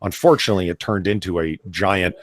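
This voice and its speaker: A man's voice: low-pitched, fairly even intonation, fairly quick, no room sound, clean studio sound. A man co-hosting a live comics chat show, talking in a laid-back, chatty way. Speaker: podcast co-host. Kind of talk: casual live-stream chat.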